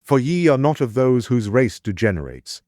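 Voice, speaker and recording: A man's voice: medium pitch, very animated, normal speed, darkish tone, no echo, clean studio sound, lightly acted. An actor playing a proud, hospitable king in an epic audio drama, with stately, declamatory archaic diction. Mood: gracious, welcoming. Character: proud, hospitable fair-haired warrior king, commanding and worldly.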